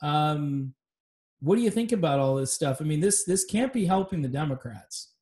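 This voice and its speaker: A man's voice: fairly high pitch, lively intonation, normal speed, a little mellow. A man hosting a casual political podcast chat, talking loosely and wandering off on tangents. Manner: loose, rambling, tangent-prone. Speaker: podcast host.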